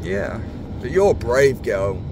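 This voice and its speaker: Parent, vlog. Calm, reassuring, lively pitch. Recorded on a phone inside a car, loud wash noise. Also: slow pace, vocal fry. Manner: soothing, reassuring. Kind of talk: casual chat reassuring a child. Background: loud brushes and blowers of a car wash.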